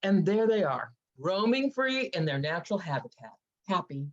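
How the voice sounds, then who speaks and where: Lively, lowish-voiced actress as a playful, teasing female best friend; table-read drama, lo-fi sound. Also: moderate pace. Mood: excited. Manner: animated, teasing. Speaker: actor in a screenplay table read. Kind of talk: live dramatic script reading. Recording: dull lo-fi audio.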